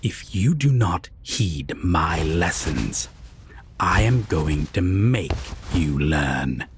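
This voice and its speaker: A man playing a sadistic, possessive vampire villain in a dark audio roleplay, husky and often near a whisper, deliberate and condescending. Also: sits low, big pitch swings, slight background noise, exaggerated voice. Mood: cold, threatening. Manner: menacing, slow, deliberate, condescending.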